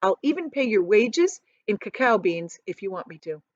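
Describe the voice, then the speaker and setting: A woman voicing an eccentric, proud and excitable male chocolate factory owner in a theatrical, emphatic storybook manner. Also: medium pitch, moderate pace, no room sound, highs cut off, close to an everyday voice. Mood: eager, persuasive. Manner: theatrical, emphatic, stretching key words.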